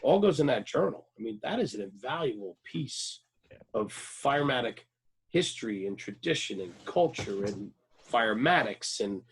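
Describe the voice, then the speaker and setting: A man's voice: medium pitch, sing-song, moderate pace, breathy edge, poor audio. A man chatting casually as a radio show host, informal and enthusiastic, with plenty of slang. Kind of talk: casual chat.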